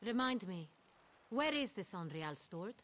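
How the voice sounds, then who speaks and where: An actress playing a regal, commanding duchess in a fantasy game, speaking formally and with authority. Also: female voice, medium pitch, sing-song, normal speed, a bit bright, a little hiss or hum. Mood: stern, imperious. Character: imperious, commanding young duchess, regal and sharp-tempered. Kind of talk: video game voice acting.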